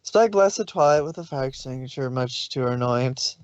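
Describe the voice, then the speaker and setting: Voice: mid-range voice, lively intonation, normal speed, no room sound. A narrator reading fan fiction aloud informally, occasionally stumbling over words, on a slightly lo-fi recording. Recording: slightly dull, lo-fi sound.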